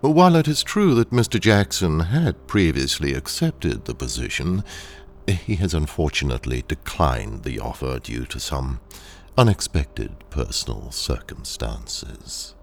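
A very deep-voiced, gravelly corporate villain in an audio drama. He talks slowly and with polite condescension, his calm menace carried on widely swooping intonation. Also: soft background music, exaggerated voice. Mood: smooth, feigned politeness. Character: sinister, ruthless male corporate trainer, calmly condescending. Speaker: training engineer in an audio drama.

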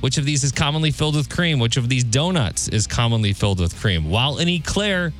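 A man's voice: medium pitch, animated, a little fast. A man hosting a radio show, reading out trivia questions and bantering as quizmaster. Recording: music bed behind the voice. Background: background music.

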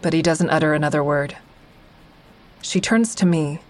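A woman's voice: sits low, moderate pace, natural voice. A woman playing an introspective therapy-group member who narrates her own story in a confiding, reflective way for an audio drama. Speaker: narrator and lead actor.

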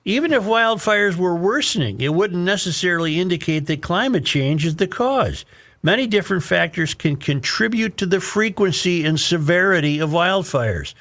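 A man's voice: high voice, expressive, normal speed, a bit bright. Opinionated American man hosting talk radio. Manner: opinionated, emphatic, repeats phrases for emphasis. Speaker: talk show host. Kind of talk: reading an article aloud with commentary.

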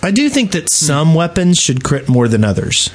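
A man co-hosting a casual gaming podcast, explaining things in a halting, conversational way. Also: a little high, sing-song, slight background noise. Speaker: podcast co-host.

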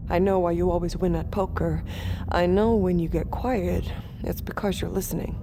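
A woman's voice: fairly low pitch, fairly even intonation, moderate pace, background noise, natural voice. An actress playing a devoted, scheming woman android who persuades earnestly and seductively in an audio drama. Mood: earnest pleading. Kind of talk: intimate audio drama acting.

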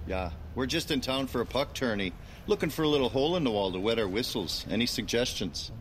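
Male actor as affable Canadian husband, folksy and over-polite, mid-low everyman for an audio comedy. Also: expressive, fast, a little hiss or hum, lightly acted, rough recording. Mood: chummy. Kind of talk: comedy audio drama acting.

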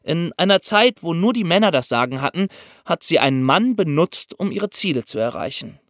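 A man hosting a podcast, telling history in a conversational, expressive storytelling style for young listeners. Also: on the high side, normal speed, no room sound, studio quality. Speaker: podcast host.

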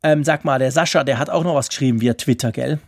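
A man's voice: medium pitch, fast, no room sound, clean studio sound. A man from Switzerland with a Swiss accent, talking casually and at length as co-host of a tech podcast, in a self-deprecating way.

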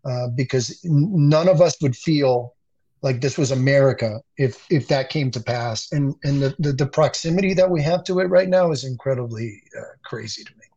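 A man's voice: mid-range voice, moderate pace, a little mellow. Earnest man on a dull, lo-fi line. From Dominican Republic. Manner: earnest, frequent restarts and repeated words. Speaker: communications expert guest. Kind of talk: political interview conversation.

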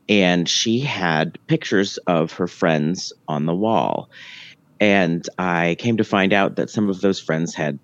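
Over a dull, dry phone line, a woman tells a story of her own. She is giggly and emphatic, and leaves dramatic pauses between short phrases. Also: very deep voice, no echo. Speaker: podcast caller. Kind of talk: personal storytelling on a phone call. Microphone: phone call.

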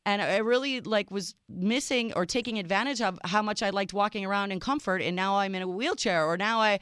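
Upbeat radio co-host lady, first language serbian, smooth voice, dry studio sound. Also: mid-range voice, fairly quick, fairly bright tone, no echo. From Minneapolis, Minnesota. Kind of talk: casual radio talk.

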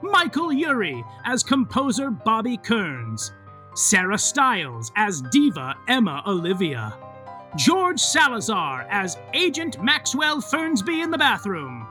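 Hammy soap-opera narrator: bright, wildly swooping delivery, tongue-in-cheek grandeur. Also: male voice, high voice, wildly swooping pitch, slow, soft background music, heavily acted. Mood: sardonic. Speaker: narrator reading recap and credits. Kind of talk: theatrical scripted narration for an audio soap.

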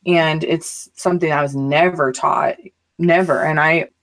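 A woman from Arizona and Southern California who teaches, talking in casual conversation in an emphatic, animated way with frequent restarts. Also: low voice, measured pace.

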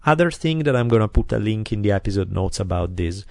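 A man's voice: fairly low pitch, lively intonation, fast talker, creaky, dry recording, studio quality. A man with an Italian accent hosting a podcast, talking in a loose, chatty way with many fillers. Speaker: podcast host. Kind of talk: casual podcast chat. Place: quiet recording studio.

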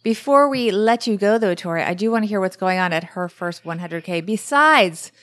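A woman's voice: medium pitch, expressive, moderate pace, a bit bright, no room sound. A woman in her forties hosting a podcast, chatting casually and giving upbeat wrap-ups. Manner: upbeat, plugging and summing up. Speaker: podcast host.

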